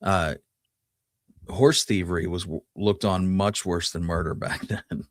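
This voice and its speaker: A man's voice: sits low, steady tone, measured pace, no echo, clean studio sound. A man co-hosting a podcast, telling history casually and thinking aloud as he goes. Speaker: podcast co-host. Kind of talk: casual history discussion and storytelling.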